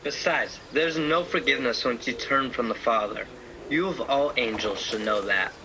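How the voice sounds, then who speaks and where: A man's voice: mid-range voice, moderate pace, a crowd in the background, close to an everyday voice. A man playing an earnest, loyal guardian angel, conversational in delivery, in a radio play. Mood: firm. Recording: noisy home recording with ambience.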